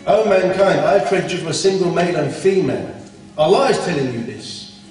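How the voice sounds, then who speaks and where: A man's voice: on the high side, animated, fairly quick, a little mellow, soft background music. A man giving a blunt, scolding Islamic talk at a conference, full of rhetorical questions. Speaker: conference speaker. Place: conference hall. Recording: echoey hall from far off.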